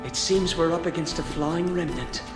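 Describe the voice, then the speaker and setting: Guy playing a young lord in a game, decisive, highish voice, big hall echo, music behind. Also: normal speed, close to an everyday voice. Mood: firm, commanding. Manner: decisive, authoritative, formal. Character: resolute young male lord commanding his retainers. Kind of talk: video game cutscene acting. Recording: long hall reverb under music.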